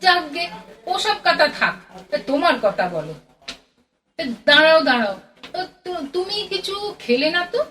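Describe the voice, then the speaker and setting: Woman acting fussy, high-voiced mother. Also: big pitch swings, moderate pace, pauses often, pushed and bright, exaggerated voice. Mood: chatty. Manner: fretful, exclaiming, repeats words in a fluster. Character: fussy, chatty middle-aged mother on a train journey. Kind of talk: radio play acting. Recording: distant mic, clearly noisy ambience.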